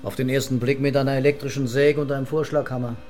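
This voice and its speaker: An actor playing a composed police commissioner, terse and measured, in a radio crime drama. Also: male voice, mid-range voice, little pitch movement, a little mellow, faint music behind, natural voice. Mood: sober, matter-of-fact.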